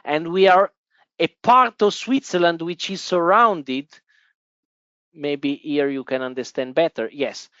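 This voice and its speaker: A man's voice: fairly high pitch, measured pace, pushed and bright, smooth, no echo, highs cut off. A man from Italy with an Italian accent, hosting a live stock-investing webinar and talking to viewers with many fillers and restarts. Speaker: webinar host. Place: office in the Italian part of Switzerland.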